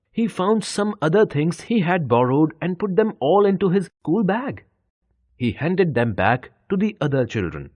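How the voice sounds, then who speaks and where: A man narrates a children's story in a fairly high, slightly rough voice. His delivery is deliberate and expressive, with very animated intonation. The recording is dry and close. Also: dry recording.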